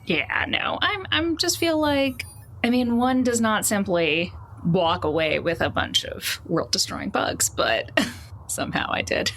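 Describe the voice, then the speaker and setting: Woman, medium pitch, casual improvised player chat, loose and jokey, light background noise. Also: expressive, measured pace, a bit bright. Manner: loose, jokey, prone to chuckling. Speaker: tabletop roleplaying player.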